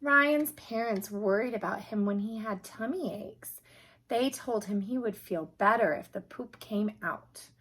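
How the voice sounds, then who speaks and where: A woman reading a children's picture book aloud in an expressive, emphatic storytelling manner, with a slightly husky voice. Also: medium pitch, unhurried.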